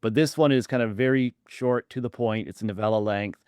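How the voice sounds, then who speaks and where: A man's voice: medium pitch, darkish tone, smooth, no room sound, clean studio sound. A man hosting a podcast interview, reading a prepared introduction and then talking loosely with fillers. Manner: reads a scripted intro, then chats with pauses and fillers. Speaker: podcast host.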